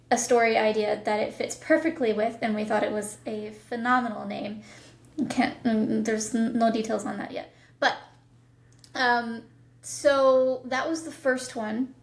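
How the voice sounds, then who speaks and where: Higher-voiced woman in an echoey room. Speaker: guest, audio drama producer and voice actor. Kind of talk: casual podcast interview chat. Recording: roomy, echoing sound.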